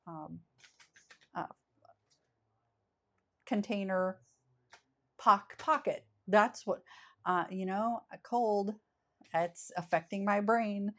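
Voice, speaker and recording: A woman with a scratchy voice from a summer cold walks viewers through a card-making project at a slow, unhurried pace. She talks in an easygoing, chatty way and often stops to correct herself. Her pitch sits in a middle range. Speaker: craft channel host. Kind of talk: step-by-step craft tutorial.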